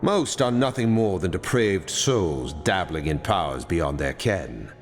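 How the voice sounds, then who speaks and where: An actor playing a learned mage who lectures solemnly about a dangerous foe. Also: male voice, deep voice, animated, unhurried, in a large hall, faint music behind.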